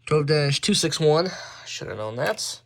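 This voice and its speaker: A man presenting his hobby collection in a casual, unscripted way, halting and often correcting himself as he goes. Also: on the high side, expressive, creaky, slight background noise. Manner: halting, offhand, self-correcting. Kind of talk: casual unscripted show-and-tell.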